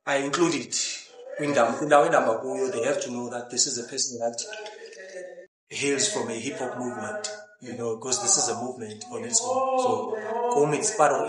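South African rapper, halting and echoey. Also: male voice, medium pitch, crowd noise. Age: 30s. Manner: halting, many restarts, mixing in Xhosa phrases. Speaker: rapper guest. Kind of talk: casual interview. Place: outdoors by a brick building porch.

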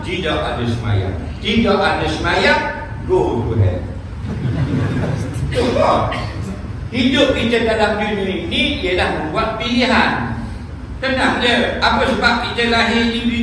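An older man giving a humorous mosque lecture, teasing his listeners and chanting Arabic verses. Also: high-pitched. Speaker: religious teacher. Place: mosque lecture room, indoors. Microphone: stand mic on desk, recorded by a far camera. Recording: echoey hall from a far camera, noisy.

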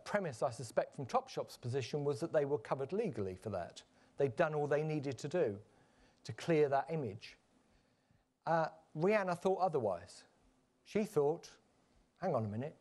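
A man giving a measured, explanatory conference presentation, with frequent fillers and restarts. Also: on the high side, a little mellow. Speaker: conference panel speaker. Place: conference stage.

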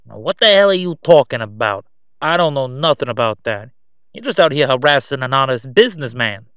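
A man plays a cocky gangster boss in an audio drama. He sneers through folksy, wisecracking patter in a clean, smooth voice, recorded dry and close. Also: medium pitch, big pitch swings, brisk, a bit bright, no room sound. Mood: defensive, sneering.

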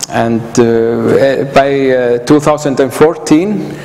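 Echoey, halting Icelandic man giving a talk. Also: mid-range voice, a little mellow. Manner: hesitant, halting, with frequent pauses and filler sounds. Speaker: conference speaker. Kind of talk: informal presentation to an audience. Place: indoor hall, standing by a projector screen. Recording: echoey hall from afar, background hiss.

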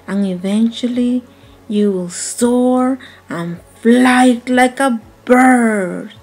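Woman as slow-speaking, patient mother bird. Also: on the high side, darkish tone, soft background music. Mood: patient, soothing. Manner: firm, instructive, motherly. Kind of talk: dramatized picture-book storytelling.

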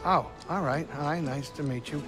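A man playing an excitable, grumbling pilot in a video game cutscene, talking in an exasperated, wary manner. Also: a little high, normal speed, lightly acted. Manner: exasperated, animated. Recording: music behind the voice.

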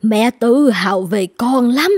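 A woman's voice: a little high, animated, takes it slowly, a little mellow, slightly rough, full character voice. A woman playing a scheming, snobbish middle-aged mother in a cartoon drama, speaking in an emphatic, admonishing way. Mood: proud, pleased. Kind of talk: dubbed cartoon drama acting. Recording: long reverb, music underneath.

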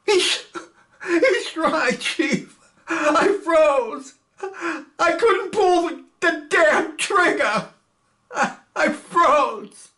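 A man's voice: high voice, measured pace, voice pushed up. A man reading a play aloud, voicing a timid young male airman who stammers and breaks down crying. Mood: tearful.

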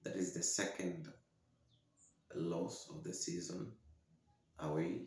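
A young man giving solo football commentary as a podcast host, halting and downcast, speaking into a desk microphone in a small room. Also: low-pitched, fairly even intonation, a little mellow. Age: young adult. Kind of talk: solo post-match commentary to camera. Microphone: desktop podcast microphone, close. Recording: very echoey room.

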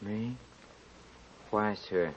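An actor playing a prideful, poor young writer racked by guilt, with halting, stammering speech, in old-time radio drama style. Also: male voice, on the low side, breathy edge, full character voice. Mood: wary, subdued. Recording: dull, noisy old radio recording.

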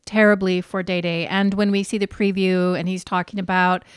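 A woman's voice: lowish voice, flat delivery, normal speed, clear, resonant tone, no room sound, studio quality. A woman from Hawaii co-hosting a podcast in casual, opinionated recap chat, emphatic and repeating phrases for effect. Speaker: podcast co-host. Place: small indoor podcast studio. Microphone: podcast microphone on boom arm, close.

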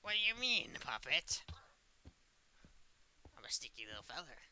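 A man's voice: fairly high pitch, big pitch swings, moderate pace, pushed and bright, slight background noise, rough recording. A man role-playing a smug, teasing evil puppet killer in playful, mock-innocent banter over a game. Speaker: role-play gamer.

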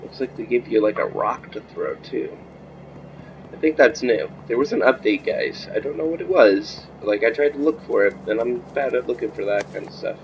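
Croaky young man narrating a game. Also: medium pitch, normal speed, muffled recording, a little hiss or hum. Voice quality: a little croaky. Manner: jumpy, chatty, talks to viewers. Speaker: gamer. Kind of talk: casual gameplay commentary.

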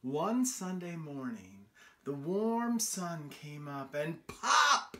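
A middle-aged American man hosting a children's storytime, reading a picture book aloud in an expressive, sing-song way with questions to his listeners. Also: fairly high pitch, drawn-out words, a little hiss or hum. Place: small room indoors on a couch.

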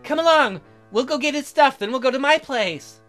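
An encouraging young woman bandmate in a visual novel, played by a man, with a raised pitch. She talks in a breezy, friendly way, always upbeat. There is music in the background. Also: animated, moderate pace, fairly bright tone. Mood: cheerful. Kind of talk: visual novel character read-aloud.

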